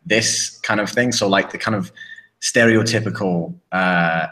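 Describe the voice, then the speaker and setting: A British man with a very low voice chats on a podcast, and his bright, forceful tone carries through his rambling, restart-heavy sentences. He wanders through his points with plenty of fillers. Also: measured pace, poor audio. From Britain. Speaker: podcast co-host. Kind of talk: casual chat. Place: living in a small town in southern Spain.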